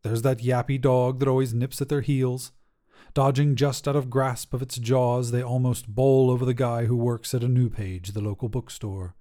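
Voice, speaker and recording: A man's voice: medium pitch, dark tone. A man narrating a scripted short story as a podcast reader, with a dramatic delivery.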